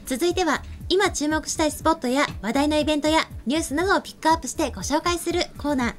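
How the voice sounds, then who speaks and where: High-voiced, fast-talking young woman presenter. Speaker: co-host presenter. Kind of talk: polite show presenting. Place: small studio set, indoors. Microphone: desk microphone. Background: background music.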